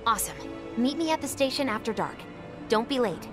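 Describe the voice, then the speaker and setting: Playful young female rebel, high lively voice, teasing, breezy, game cutscene, music behind. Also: a little fast. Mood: earnest, coaxing. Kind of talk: video game voice acting.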